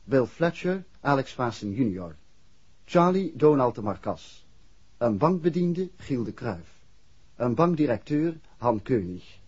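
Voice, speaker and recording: A man's voice: medium pitch, big pitch swings, unhurried, darkish tone, slightly rough. A man with a Dutch accent announcing scripted radio drama credits in an old 1960s broadcast style. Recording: muffled 1960s radio recording.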